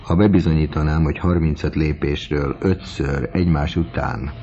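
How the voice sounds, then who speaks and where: A male reader voicing a mocking, menacing middle-aged schemer who talks loudly and teasingly. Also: very deep voice, fairly even intonation, slight background noise. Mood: smooth. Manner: teasing, loud and sardonic. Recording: dull lo-fi audiobook recording.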